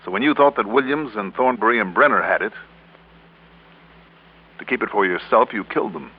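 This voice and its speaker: An actor playing a hard-nosed, steady insurance investigator in an old radio detective drama, speaking in a measured, probing way. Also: male voice, fairly low pitch, breathy edge. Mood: accusing. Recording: narrow-band old radio recording.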